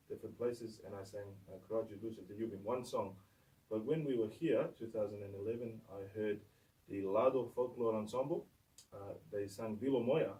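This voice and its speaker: A man with a New Zealand accent giving conversational interview answers as a guest singer, halting as he moves between two languages. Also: lowish voice, dark tone, distant mic, poor audio. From Samoa and New Zealand.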